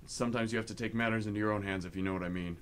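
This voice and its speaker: A man's voice: lowish voice, steady tone, very fast, close to an everyday voice. A man playing a menacing, boastful, drunk war veteran who bullies his siblings, taunting in folksy tough talk. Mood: boastful, needling. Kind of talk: live amateur radio theatre acting. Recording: clearly noisy amateur radio drama recording.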